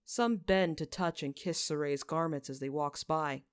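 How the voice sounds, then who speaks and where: A woman's voice: deep voice, a little slow, clean tone, dry recording, clean studio sound. A woman reading scripted story narration, in the manner of an audiobook. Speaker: fan fiction narrator.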